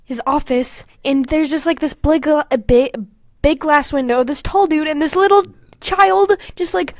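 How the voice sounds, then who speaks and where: A young woman co-hosting a casual podcast, animated and sweary, with self-mocking asides. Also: sits high, moderate pace, no room sound. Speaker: podcast co-host. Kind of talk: casual podcast chat, reading old fan fiction aloud with mocking commentary.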